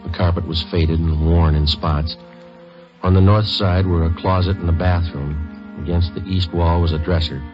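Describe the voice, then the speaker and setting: Male actor as terse police detective, very deep voice, dry and factual, vintage radio crime drama, muffled sound. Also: normal speed, lightly acted. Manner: clipped, deadpan, matter-of-fact. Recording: dull old radio recording, music behind.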